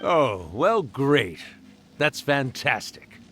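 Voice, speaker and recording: An actor playing a wistful, sociable old male spirit in a video game, with theatrical, drawn-out exclamations. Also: mid-range voice, big pitch swings, fairly bright tone, slight background noise, exaggerated voice. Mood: tender, wistful. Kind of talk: video game character acting.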